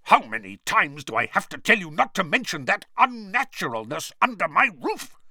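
A man voicing a blustering middle-aged uncle in a storybook reading, flustered and bullying, with halting, emphatic speech. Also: sits high, very animated, sharp tone, no echo, studio quality, pitched above the performer's own voice, exaggerated voice. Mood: outraged, hissing.

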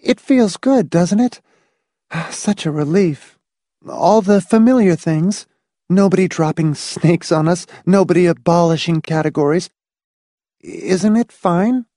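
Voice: medium pitch, a little slow, dark tone, dry recording, clean studio sound, pitched above the performer's own voice, lightly acted. A narrator voicing an anxious, pleading wife in an audiobook, with shaky, insistent questioning. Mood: nervously hopeful.

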